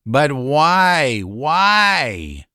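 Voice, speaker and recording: A man voicing an agitated young male asylum patient who is bitter and scornful, shouting and laughing mockingly. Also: a little high, very animated, extremely slow, no echo, studio quality, exaggerated voice. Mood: desperate.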